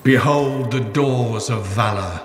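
A man's voice: mid-range voice, slow pace, close to an everyday voice. Man playing ancient trial-master for a game, solemn and archaic, mid-range voice in big echo. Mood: solemn, grave. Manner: grave, archaic, declamatory. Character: solemn male ancient lancer lore-keeper presiding over trials. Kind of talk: scripted ceremonial game narration. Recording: echoey voice over game music.

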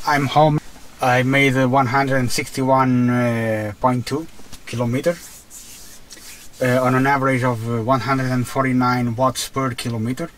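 A man with a Portuguese accent narrates his drive at an unhurried pace, his voice sitting at an average pitch. He stops often, filling the gaps with hesitations and restarts. He is speaking from inside a car. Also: a bit bright, a little hiss or hum, poor audio. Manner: halting, many fillers and restarts. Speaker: car vlogger. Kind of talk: casual narration while driving.

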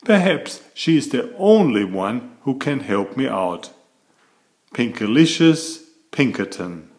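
A man reading a children's picture book aloud in slow, expressive storybook narration. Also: mid-range voice.

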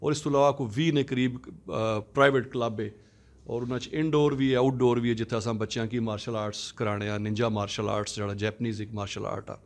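A middle-aged man, a martial arts academy founder, introducing himself in relaxed, digressive conversation into a handheld mic in a conference room. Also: medium pitch, a little fast.

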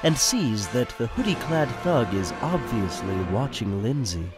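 A man's voice: medium pitch, sing-song, a little slow, music in the background. A man narrating a radio play in the present tense with a conversational storytelling manner.

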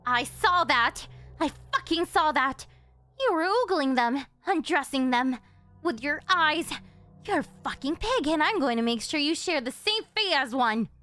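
Woman playing a yandere girlfriend, very high voice, clingy, doting, possessive, menacing edge, ASMR roleplay, music behind. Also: expressive, measured pace, pushed and bright, full character voice. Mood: jealous fury. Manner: doting, pleading, swinging into threats. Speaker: voice actress.

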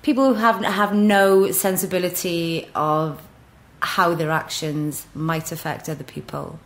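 Blunt Welsh woman, lower voice. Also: fairly even intonation, measured pace. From Wales. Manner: blunt, frank storytelling with easy laughs. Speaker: guest. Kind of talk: casual chat. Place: office.

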